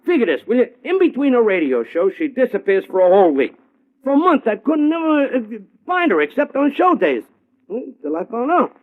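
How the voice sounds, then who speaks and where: An American actor playing a harried, hustling talent agent in a radio drama, slangy, excitable and pleading. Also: male voice, very high-pitched, fairly quick. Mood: exasperated. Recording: tinny, band-limited old radio recording.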